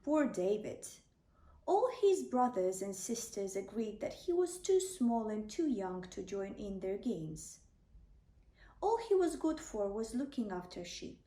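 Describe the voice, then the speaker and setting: A woman narrating a children's bedtime story in a gentle, deliberate, clearly enunciated way. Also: medium pitch, sing-song. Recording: roomy, distant microphone.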